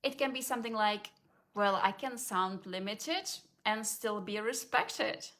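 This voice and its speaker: A woman speaking as a language confidence coach, giving a calm, measured, scripted explainer. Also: medium pitch.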